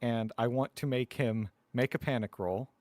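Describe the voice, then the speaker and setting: A man's voice: mid-range voice, moderate pace, darkish tone, no echo, clean studio sound. A man talking casually as a player at a tabletop roleplaying game.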